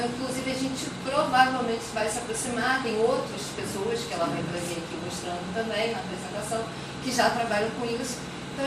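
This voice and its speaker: Brazilian woman, higher-pitched, in a very echoey room. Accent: Brazilian Portuguese. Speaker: university communication professor presenting research. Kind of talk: informal academic presentation. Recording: very echoey, distant mic, noisy background.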